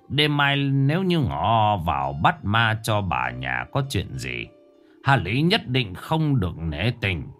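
A man voicing a slow, grave old exorcist. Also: fairly bright tone, heavily acted. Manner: slow, low, foreboding warning. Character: grave male exorcist master, solemn and ominous.

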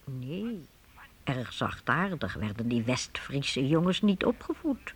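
A woman narrating a radio play as a deliberate, expressive storyteller reading a script. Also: a bass voice, background noise.

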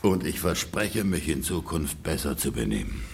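Gravelly, exasperated peasant husband. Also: male voice, very deep voice, very animated, moderate pace, darkish tone, exaggerated voice. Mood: grudging, sullen. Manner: pleading, aggrieved, theatrical. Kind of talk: classic German comedy radio play acting.

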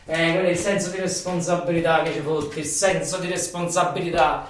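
An actor playing a weary, embittered factory worker in a theatrical radio monologue, with a southern Italian, Neapolitan accent and incantatory, repetitive phrasing. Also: male voice, on the high side, flat delivery, fairly quick, natural voice. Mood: resigned. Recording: echoey room, far from mic, noisy.

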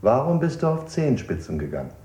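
A threatening interrogator, played by a man has a dark voice with lively intonation. He sounds set back in an echoey room, in old radio-drama style. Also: medium pitch, fast talker, lightly acted. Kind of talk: stylized 1960s German radio play. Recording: distant, dull, echoey old radio recording.

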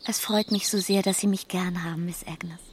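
An actress playing a naive, affectionate young bride, coy and girlish, with a soft, breathy voice in a literary radio play. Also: fairly low pitch, steady tone, moderate pace, a little hiss or hum, natural voice. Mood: shy.

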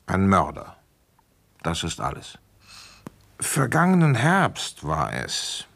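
A low-pitched, world-weary village police commissioner in a radio crime drama. He is middle-aged and talks in a grumbling, brusque way, with dry resignation. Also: vocal fry, pushed and bright, heavily acted. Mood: matter-of-fact narrating. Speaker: narrator and lead character.

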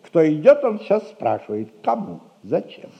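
An actor playing a wily, self-important household servant in a theatrical audio comedy, protesting in a folksy, pompous, wheedling way. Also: male voice, a little high, huge pitch swings, moderate pace, gravelly, mellow, full character voice. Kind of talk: theatrical audio play acting. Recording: dull vintage theatre recording.

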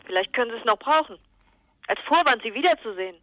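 A detective's pert secretary on the phone in a radio drama. Her voice is high and bright, and she talks quickly with cool, teasing wit. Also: expressive, rough recording. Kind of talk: crime radio play dialogue.

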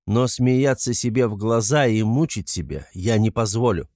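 A man's voice: lowish voice, moderate pace, dark tone, no room sound, clean studio sound, close to an everyday voice. A man playing a proud, overwrought young male suspect in a novel, speaking in emphatic, clipped, defiant declarations. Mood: cold defiance.

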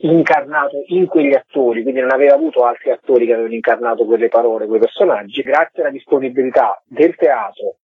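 Husky man on a tinny phone line. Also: mid-range voice, quick pace, few pauses, no echo. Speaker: interviewed film director. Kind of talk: radio interview, conversational explanation. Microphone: telephone.

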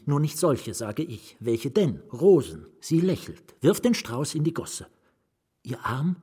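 A haunted, nameless writer confides his story in a radio play. His voice is husky and mid-pitched. He speaks haltingly and with drama, often sinking into an intimate hush. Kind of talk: German radio drama monologue.

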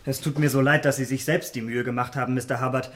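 An actor playing a young gentleman in a radio play, polite but commanding, with controlled delivery. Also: medium pitch, fairly even intonation, fast, natural voice. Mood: courteous. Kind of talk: German radio drama acting.

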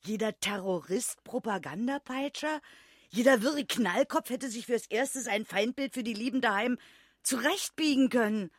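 A woman acting a high-voiced, sardonic detective. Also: normal speed, no echo, clean studio sound, lightly acted. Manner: sharp questioning, ironic, articulate. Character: sardonic, indignant female police detective. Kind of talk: radio drama acting.

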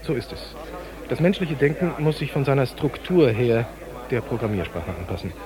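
Schauspieler als sachlich dozierender Computerwissenschaftler, Geräuschkulisse im Hintergrund. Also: male voice, medium pitch, big pitch swings, normal speed, crowd noise, natural voice, rough recording. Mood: earnest, explanatory. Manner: didactic, precise, technical. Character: male computer scientist explaining his system, earnest and clinical. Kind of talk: radio drama dialogue.

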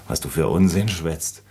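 An actor playing a concerned, practical, protective friend in a German radio drama, plain-spoken and mildly exasperated. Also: male voice, rumbling low, a little mellow, breathy edge, heavily acted.

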